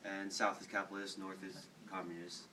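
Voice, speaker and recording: A man's voice: deep voice, level tone, fairly quick. A young American man casually telling history in a slangy, irreverent, joking way to classmates. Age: teens to young adult. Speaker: student cadet telling history stories. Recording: noisy room, distant microphone.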